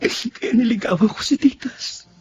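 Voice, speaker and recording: Casting: lovesick young man with a secret, high voice, anguished radio melodrama delivery. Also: a little slow, breathy edge, faint music behind, heavily acted. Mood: anguished, tearful. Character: lovesick young man hiding his love for his male friend. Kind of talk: Tagalog radio drama acting.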